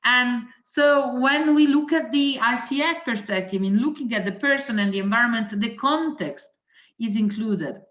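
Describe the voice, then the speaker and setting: A woman's voice: a little high, normal speed, fairly bright tone. A woman doctor, a native Italian speaker with an Italian accent, giving a methodical webinar lecture that lists points with frequent hesitations. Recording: roomy, dull lo-fi audio.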